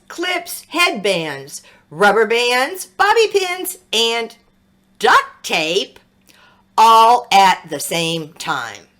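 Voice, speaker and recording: A woman reading a picture book aloud as a children's story, with dramatic, expressive delivery. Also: a little high. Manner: slow, dramatic, animated storytelling with sound words.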